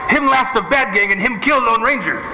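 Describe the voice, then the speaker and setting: A man's voice: very high voice, fairly quick, over music. A man playing a stoic western sidekick who talks in terse broken English, in old time radio style. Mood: urgent. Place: live theatre stage. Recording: echoey hall, noisy live stage recording.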